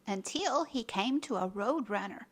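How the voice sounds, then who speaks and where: A woman reading a children's story aloud as a storyteller, with expressive, dramatic emphasis. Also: on the high side, a little slow, over loud music.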